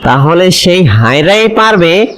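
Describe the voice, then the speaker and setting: A man voicing a grave tantric sorcerer in a cartoon, with ominous drawn-out hums and pauses. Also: sits high, sing-song, fairly bright tone, exaggerated voice. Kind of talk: cartoon voice acting.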